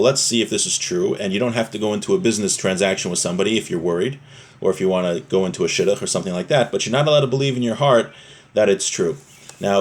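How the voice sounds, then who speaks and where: A man giving an explanatory religious lesson, reading from a text and rephrasing each point conversationally, with rhetorical questions. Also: mid-range voice. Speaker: religious teacher.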